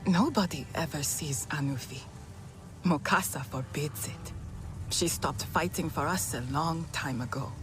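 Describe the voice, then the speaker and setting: An actress playing an earnest young clanswoman who quietly defies her leaders. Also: low-pitched, measured pace, over music. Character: earnest young female clan member, quietly rebellious.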